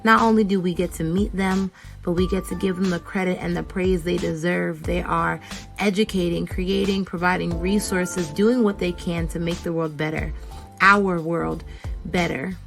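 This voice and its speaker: Emphatic woman over music, gravelly. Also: on the low side, steady tone, normal speed. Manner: emphatic, declamatory. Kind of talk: dramatic show intro. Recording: music bed behind.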